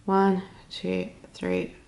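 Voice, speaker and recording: A woman's voice: on the low side, big pitch swings, extremely slow, vocal fry, warm tone. A woman with a cold and a snuffly nose, chatting informally through a step-by-step craft tutorial. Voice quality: snuffly, slightly stuffed-up nose. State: has a cold. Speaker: crochet tutorial host.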